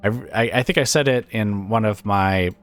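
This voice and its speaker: A man with a raspy, weakened voice from illness, casually commentating a strategy game and thinking aloud with plenty of hesitant fillers. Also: lowish voice, moderate pace, soft background music.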